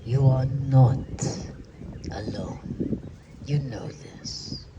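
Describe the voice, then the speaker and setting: Slow channeled spiritual message, formal archaic phrasing, breathy whispers, distant mic, clear background noise. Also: mid-range voice, muffled recording. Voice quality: often drops into a breathy whisper. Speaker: spiritual teacher addressing a group.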